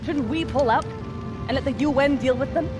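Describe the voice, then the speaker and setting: A woman's voice: high voice, normal speed, music in the background, natural voice. A woman voicing a defiant, skeptical special forces lieutenant in a video game cutscene, blunt and challenging. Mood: angry, challenging.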